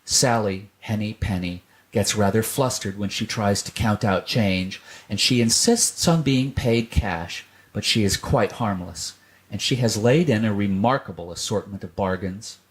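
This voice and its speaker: A man's voice: lowish voice, measured pace. A man narrating a children's story as a scripted storyteller.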